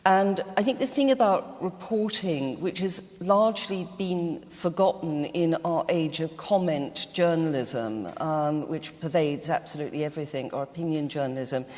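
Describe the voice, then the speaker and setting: A woman speaking as a panel guest in a literary discussion, with emphatic, deliberate phrasing. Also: deep voice, a little mellow. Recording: reverberant hall.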